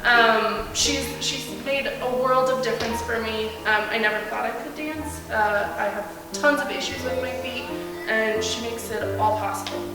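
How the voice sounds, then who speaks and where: A woman talks about her ballet class with bubbly enthusiasm. Her voice is high-pitched. She is recorded from a distance in a very echoey hall with long reverb, and music plays behind her. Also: normal speed. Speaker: adult ballet student. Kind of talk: testimonial interview.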